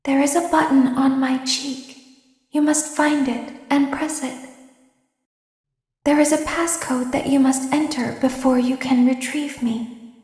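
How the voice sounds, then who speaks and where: Woman playing an eerie robot girl, calm and manipulative, game character, high voice, roomy echo. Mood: calm, commanding. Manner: slow, measured, often hushed whispers. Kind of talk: fan voice acting of game lines.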